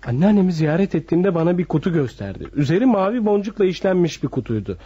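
An actor playing an excitable, scheming young grandson in a radio play, muffled, with a noisy crowd behind him. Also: male voice, high voice, quick pace, husky, heavily acted. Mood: confiding. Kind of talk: radio theatre acting.

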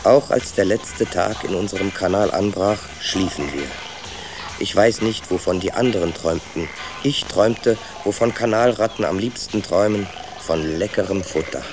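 An actor playing a young sewer rat who calmly narrates his story in a children's radio play, measured and matter-of-fact. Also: low-pitched, over music, natural voice. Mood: calm, storytelling.

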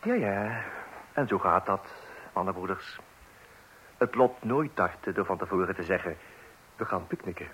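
A man's voice: low voice, animated, normal speed, long pauses, fairly bright tone, slight background noise, rough recording. An actor playing a jovial father who also narrates, chatting and speaking directly to the listener. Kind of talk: radio play acting.

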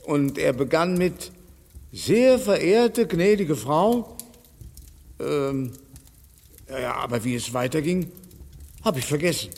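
A man's voice: fairly high pitch, big-room sound, full character voice. An actor playing an anxious, fussy elderly father who worries and rambles in a radio play. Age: older.

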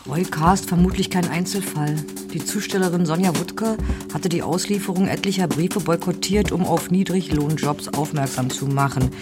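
An actress playing a serious news presenter with a creaky voice, reading scripted narration in a radio drama. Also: female voice, lowish voice, moderate pace, close to an everyday voice. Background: music.

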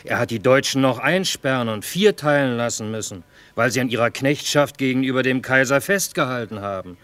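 An actor plays a male army cook with a mid-pitched voice. He talks in a measured, dryly ironic way, as a seasoned cynic would. The style is classic radio drama. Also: fairly bright tone, no room sound, close to an everyday voice. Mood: dry irony. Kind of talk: theatrical radio drama acting.

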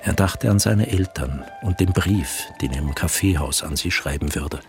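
A man narrating a literary radio drama from a script in formal, measured storytelling sentences. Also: rumbling low. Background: music.

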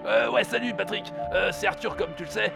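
A man performs a troubled caller in a comic audio play. He talks haltingly in a high, heavily creaking voice, with loud music underneath. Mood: uneasy. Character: hesitant, troubled male caller named Arthur. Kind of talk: comic audio drama phone call.

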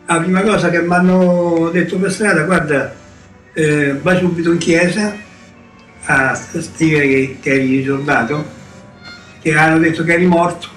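An older Roman man with a fairly high voice rambles through his memories as a survivor. He sounds distant and echoing, with music behind him. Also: steady tone, a little slow, a bit bright. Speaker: deportation survivor. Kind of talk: rambling personal testimony.

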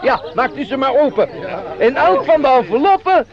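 Pompous, very high-voiced jolly organiser. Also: male voice, very animated, moderate pace, over a noisy crowd, exaggerated voice. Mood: jovial, officious. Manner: pompous, formal announcer with chuckles. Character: fussy, jolly male outing organiser, Meneer Gans. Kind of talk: Dutch radio play acting. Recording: dull radio play recording, busy group behind.

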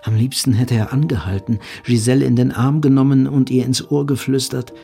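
An actor as a sober male narrator tells a literary story. His voice is medium in pitch and noticeably creaky. Faint music plays beneath the narration. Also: steady tone, a little fast, warm tone, lightly acted. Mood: sober, reflective. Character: sober third-person narrator of a troubled love story.

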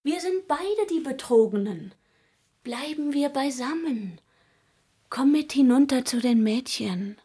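An actress playing an eager, pleading young chambermaid with a soft, breathy voice in a literary radio play. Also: female voice, sits high, animated, slow, full character voice. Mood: pleading, conspiratorial. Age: young adult.

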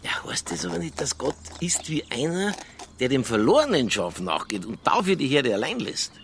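An actor playing a stubborn, provocative Bavarian police detective in a radio crime drama, earthy and argumentative. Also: male voice, mid-range voice, very animated, moderate pace, fairly bright tone, slight background noise. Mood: earnest, probing. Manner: earthy, argumentative, quoting scripture.

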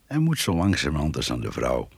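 An actor playing a grumbling, chatty older countryman in a radio play, gravelly, muttering and folksy. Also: rumbling low, huge pitch swings, fairly quick, no room sound, heavily acted. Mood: musing. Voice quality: gravelly, husky. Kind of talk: Dutch radio play acting.

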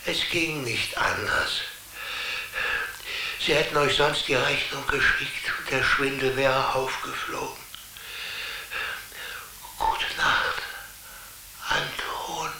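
Bright male voice, conspiratorial kindly TV-world schemer, colloquial, radio drama, roomy with slight hiss. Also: medium pitch, slow pace, creaky, long pauses, exaggerated voice. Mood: persuasive. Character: Karl, scheming, kindly male being from inside a television. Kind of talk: German radio drama acting. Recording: roomy sound with faint hiss.

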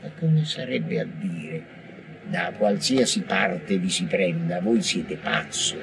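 A noisy radio drama recording carries a man playing a sceptical interviewer. His voice is pitched low and stays quiet and measured, full of doubt. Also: creaky, exaggerated voice. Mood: disapproving. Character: subdued, sceptical interviewer doubting a madman's sanity. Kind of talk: theatrical radio dialogue.

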